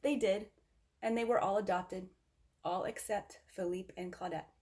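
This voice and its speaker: Lady reading a kids storybook out loud, expressive, medium pitched voice. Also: a little slow. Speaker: storybook reader. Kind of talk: expressive children's read-aloud.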